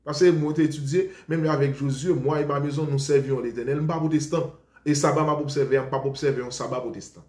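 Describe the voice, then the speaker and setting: A man's voice: a little high, steady tone, fairly quick, a little mellow. A Haitian man preaching informally to his followers, rambling and defensive, often repeating himself. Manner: rambling, defensive, switches between Creole and French. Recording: roomy echo.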